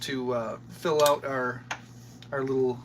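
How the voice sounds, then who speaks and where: A man's voice: medium pitch, level tone, takes it slowly, slight background noise, low-quality recording. Laid-back man listing recipe ingredients. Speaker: co-host, cook. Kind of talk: casual cooking chat. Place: home kitchen.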